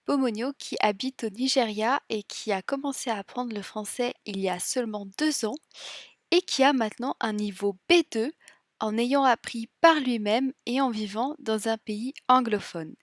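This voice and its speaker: A woman, the host and a French teacher, giving a scripted podcast intro in an upbeat, energetic way. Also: a little high, normal speed, dry recording, clean studio sound.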